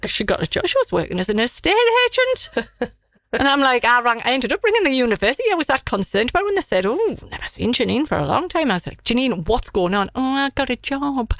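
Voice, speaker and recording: A middle-aged woman, croaky and husky from a cold, chatting casually and teasingly as a radio host. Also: high-pitched, fairly bright tone, no echo. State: has a cold. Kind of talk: casual chat.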